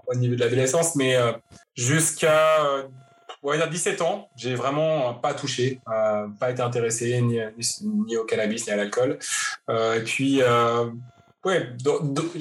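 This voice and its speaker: A man in his forties, from Paris, answers interview questions about his life. He speaks in a halting way, with frequent pauses and restarts. His voice sits at an ordinary middle pitch. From Paris, France. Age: 40s. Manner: hesitant, many fillers and restarts. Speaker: guest. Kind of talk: interview, personal story.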